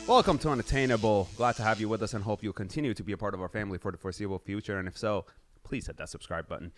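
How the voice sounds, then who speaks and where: A man's voice: lowish voice, fairly even intonation, very fast, faint music behind. A man hosting a podcast, interviewing a guest in a casual, conversational way. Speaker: podcast host.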